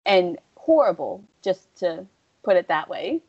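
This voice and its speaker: A young woman talking casually and at length, full of fillers, turning animated when she quotes other people. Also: on the low side, measured pace, slightly rough. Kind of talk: casual conversation. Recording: dull lo-fi audio.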